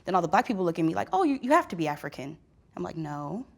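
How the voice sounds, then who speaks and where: A woman's voice: fairly low pitch, very animated, fast talker, creaky. A woman in her twenties speaking with confidence and pride about her body. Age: 20s. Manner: confident, proud. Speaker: participant. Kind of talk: candid conversation.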